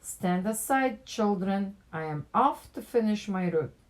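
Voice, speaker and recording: A woman's voice: medium pitch, lively intonation, close to an everyday voice. A woman voicing a determined, dutiful female mail carrier in a storybook, declaiming firmly. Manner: declaiming, brisk in attitude.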